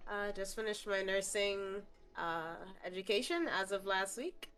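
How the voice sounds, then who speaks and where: A woman's voice: mid-range voice, unhurried, fairly bright tone, soft background music. A young woman from the Bahamas, a streamer guest chatting casually in an interview, laughing often and swearing freely.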